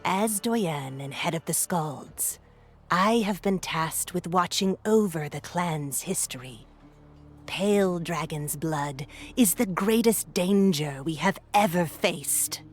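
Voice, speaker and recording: An actor, a woman, playing a solemn clan elder and lorekeeper in a fantasy game, speaking in grave, measured storytelling. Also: lowish voice, lively intonation, soft background music. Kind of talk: video game cutscene acting.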